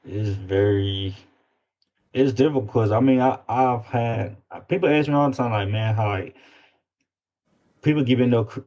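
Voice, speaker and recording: A man's voice: mid-range voice, normal speed, pauses often, mellow, lo-fi sound. A man chatting casually as an interview guest, informal and often repeating words as he searches for phrasing. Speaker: guest, independent rapper. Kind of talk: casual chat.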